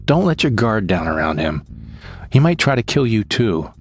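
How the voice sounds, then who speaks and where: A man's voice: on the low side, very animated, moderate pace, faint music behind. A man playing a frightened, bitter victim who recounts his ordeal in plain, short sentences for an audio drama monologue. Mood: wary, resentful. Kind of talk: first-person scripted audio drama monologue.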